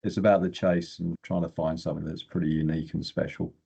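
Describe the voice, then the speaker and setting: A man's voice: low-pitched, little pitch movement, fast, creaky, warm tone, slightly rough, lo-fi sound. An older man, an exploration geochemist, telling stories casually as an interview guest.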